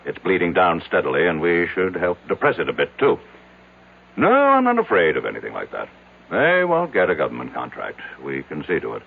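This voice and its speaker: Radio play magnate: very low, commanding, brusque, swinging emphatically in pitch, thin old broadcast sound. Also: male voice, huge pitch swings, brisk, creaky, phone-quality sound, heavily acted. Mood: commanding, businesslike. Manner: commanding, clipped, authoritative. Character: imperious, powerful male business tycoon, brusque and impatient. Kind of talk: radio drama acting.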